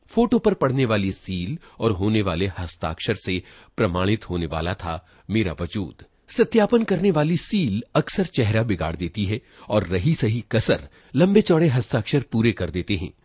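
A man narrates a literary short story in a fairly low, husky voice. His delivery is dramatic and reflective, with very lively swings in pitch, as he reads in the first person. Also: normal speed, no room sound. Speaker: story reader.

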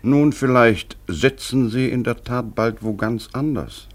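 An actor in a German radio play voicing a haughty, shrewd aristocratic blackmailer with formal, deliberate, pompous phrasing. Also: male voice, medium pitch, close to an everyday voice. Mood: formal, probing.

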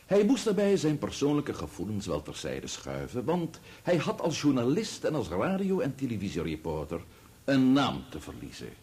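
A rhetorical defence barrister in a radio courtroom drama. He declaims formally and emphatically, his pitch swinging dramatically from high stresses to low phrase endings. The tone is solemn oratory. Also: wildly swooping pitch, moderate pace, a little mellow. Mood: solemn, persuasive.

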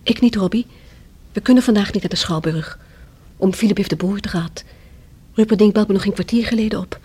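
An actress playing an earnest, polite young heiress in a radio play. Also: female voice, mid-range voice, brisk, mellow, husky, lightly acted. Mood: worried. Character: Rosa, earnest young engaged heiress niece.

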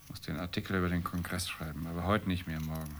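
Radio play husband: grumbling middle-class journalist, very low-pitched and terse, faint noise behind. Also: male voice, little pitch movement, quick pace, a bit bright. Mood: grumpy. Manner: terse, morose. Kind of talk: radio play dialogue acting.

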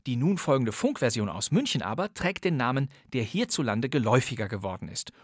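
A man introducing an old crime radio play from a script, wry and chatty, with playful asides for his listeners. His mid-pitched voice sounds close and completely dry, recorded on a studio mic without any room sound. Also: very animated, fairly quick, no room sound. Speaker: podcast host. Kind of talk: scripted presentation.

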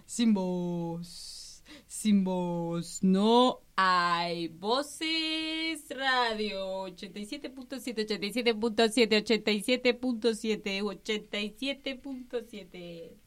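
A woman's voice: medium pitch, slow pace. A woman with an Argentine accent from La Rioja, recording playful community radio station promos with repeated chanted lines. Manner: playful, repetitive chanting, laughing asides.

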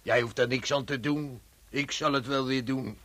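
An actor playing a bitter, fed-up corpse carrier in a radio play, grumbling with sarcasm. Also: male voice, mid-range voice, steady tone, moderate pace, fairly bright tone, close to an everyday voice. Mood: fed up, angry. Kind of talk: Dutch radio play acting.

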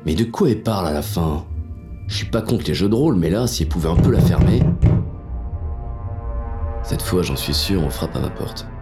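A man's voice: low voice, expressive, moderate pace, pauses often, over loud music. An actor playing a crude, confused man on the run, narrating in the first person with colloquial dialogue in an audio drama. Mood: wary. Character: confused hunted man, crude and lustful, narrating his escape.

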